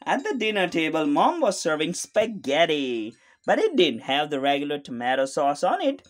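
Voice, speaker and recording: A woman's voice: deep voice, big pitch swings, moderate pace, soft background music. A woman reading a storybook aloud to small children, expressively and with questions to the listener. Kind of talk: animated read-aloud narration to small children.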